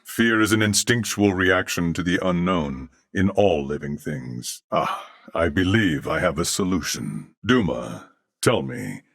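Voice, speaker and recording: A low-voiced, courteous humanoid fused with machine intelligence for a video game. The diction is formal, measured and earnest, yet the intonation moves expressively. The sound is dry and close, giving the thoughtful character a clean studio presence. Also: male voice, big pitch swings, gravelly, a bit bright, no echo, exaggerated voice. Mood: calm, explanatory.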